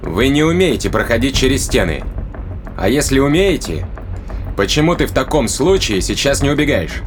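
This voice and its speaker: An actor playing a stern, impatient spaceship officer who questions bluntly and reasons in formal phrases, in an audio drama. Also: male voice, mid-range voice, very animated, moderate pace, music in the background, close to an everyday voice. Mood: impatient, demanding.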